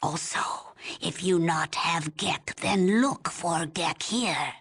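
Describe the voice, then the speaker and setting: An aged female village elder from a video game, her voice very husky and thick with gravel. She speaks slowly and solemnly, with a formal, halting gravity that pleads for her people. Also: on the low side, a bit bright, full character voice. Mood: desperate, stern. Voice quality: husky, raspy, breathy. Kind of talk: video game character dialogue.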